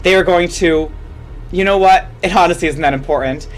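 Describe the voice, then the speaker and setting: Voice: medium pitch, brisk, low-quality recording. A host reading a comedic story aloud theatrically, with asides and self-corrections. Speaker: fan fiction reader and host. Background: music.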